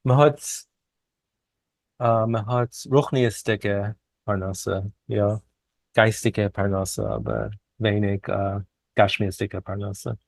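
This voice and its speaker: A man with a lowish voice talks very slowly as an interview guest. He is a non-native speaker, reflective and deliberate, pausing between short phrases. The sound is dry and free of room echo. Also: steady tone, creaky. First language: not a native speaker of Yiddish. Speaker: guest, poet and author.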